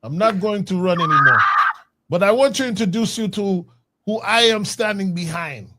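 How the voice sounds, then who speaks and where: A man voicing a male politician with a Haitian accent, loud and emphatic, repeating himself as he protests. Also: sits high, expressive, normal speed, slight background noise, voice pushed up. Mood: insistent.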